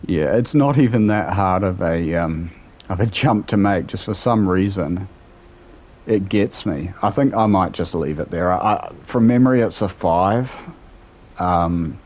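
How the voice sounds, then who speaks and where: A man's voice: low-pitched, a little slow. A young man with a New Zealand accent, chatting casually and rambling as he answers viewers while playing a game from home. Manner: relaxed, rambling, answers chat between play. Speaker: streamer. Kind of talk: casual gameplay chat with live viewers.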